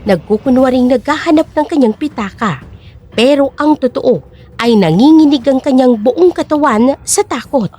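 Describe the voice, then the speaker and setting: A woman's voice: high-pitched, expressive, moderate pace, mellow. A woman narrating a dramatic scripted story in Tagalog.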